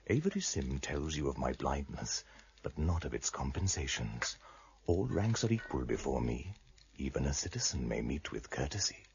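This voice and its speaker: An actor playing a shrewd, courtly blind trader in a radio drama, husky and gravelly, speaking in a measured, formal, wry way. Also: male voice, very deep voice, lively intonation, lo-fi sound, heavily acted.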